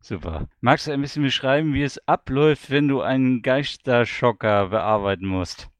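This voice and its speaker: A man's voice: medium pitch, moderate pace, no room sound. A man hosting a casual audio interview and putting questions to a guest. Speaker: host.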